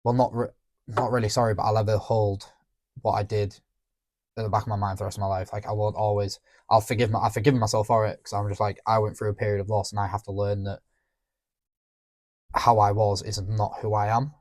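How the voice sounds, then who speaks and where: A young man talking candidly on a podcast, reflective and halting, often restarting his sentences. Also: lowish voice, level tone, a little mellow, dry recording. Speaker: podcast co-host. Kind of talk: candid emotional conversation.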